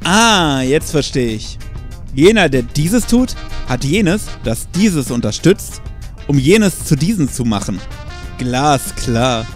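A man's voice: fairly high pitch, big pitch swings, slow pace, soft background music. A man playing a sarcastic young camping buddy with a wry, colloquial delivery in a comedic audio drama. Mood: playful.

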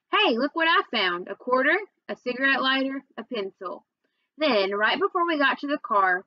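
A young woman with a fairly high voice speaks as a teacher to her students. The recording is dry and slightly dull, made at a desk in a small room at home. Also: dry recording. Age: young adult. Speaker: teacher reading aloud to students. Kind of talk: storybook read-aloud and chat to students.

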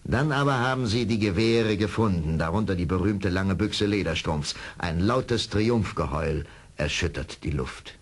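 A male narrator for an adventure radio play. His voice is deep and husky, delivering scripted, dramatic storytelling.

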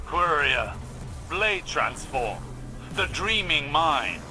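A man's voice: fairly high pitch, sing-song, very slow, heavily acted. A man playing a stern, brooding older scholar-warlock in a video game, with a dry, clipped, serious delivery. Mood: grave. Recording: music behind the voice.

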